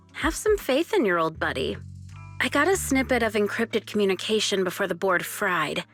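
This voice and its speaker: Confident tech expert, mid-pitched. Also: female voice, big pitch swings, normal speed, fairly bright tone. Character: confident, friendly female tech expert, old comrade of a spy. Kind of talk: video game voice acting. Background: music.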